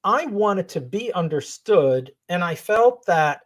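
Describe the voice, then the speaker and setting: Low-voiced earnest woman coach. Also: lively intonation. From grew up in Israel. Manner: earnest, laughs often, slow and thoughtful. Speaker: coach, interview guest. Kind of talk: reflective interview talk.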